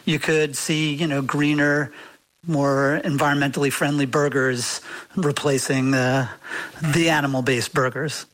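A man's voice: a little high, little pitch movement, measured pace, fairly bright tone, poor audio. A man speaking as an interview guest, explaining things in an informal, conversational way peppered with filler phrases. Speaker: guest author.

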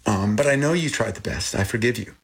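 An older man with a low, husky voice who grew up speaking Thai. He talks earnestly as an interview guest, reflective and searching, and his voice rises when he remembers something. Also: fairly quick. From born in Texas, raised in Thailand. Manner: earnest, animated when recalling, repeats and restarts.

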